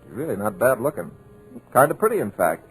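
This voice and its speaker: An actor playing a scriptwriter who muses aloud with folksy, wry asides, in 1940s radio drama style. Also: male voice, mid-range voice, big pitch swings, a little fast, soft background music, close to an everyday voice, rough recording. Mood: breezy. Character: wisecracking young male scriptwriter, nervous underneath.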